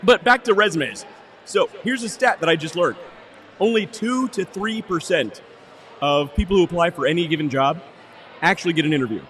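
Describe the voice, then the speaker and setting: A man co-hosting a casual business podcast, joking playfully, his voice hoarse and going out. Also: on the high side, normal speed, fairly bright tone. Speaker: podcast co-host. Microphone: cheap soundboard.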